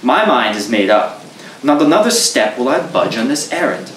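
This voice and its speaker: A man's voice: medium pitch, sing-song, moderate pace, a bit bright, echoey room, distant mic, a little hiss or hum, rough recording. A man voicing an anxious, earnest young Puritan man. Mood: stubborn.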